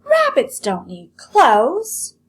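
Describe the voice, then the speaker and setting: A woman voicing a brash, bullying woman from a storybook, who speaks too loudly in a scornful, domineering way. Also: high-pitched, huge pitch swings, extremely slow, voice pushed up, full character voice. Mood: scornful shouting. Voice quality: loud, shouted delivery.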